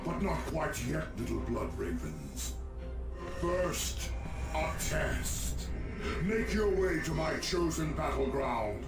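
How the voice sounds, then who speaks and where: A man's voice: on the high side, very animated, slow pace, full character voice. A man playing a mocking, grandiose zealot champion of a blood god in a video game. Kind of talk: video game villain acting. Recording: distant echoing voice under loud music.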